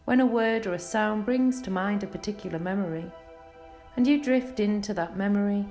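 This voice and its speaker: Female hypnotherapist for scripted guided relaxation; soothing, unhurried pauses, noticeably creaky voice, faint music underneath. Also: medium pitch, a little mellow. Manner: soothing, measured, lulling delivery with pauses. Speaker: clinical hypnotherapist leading a session.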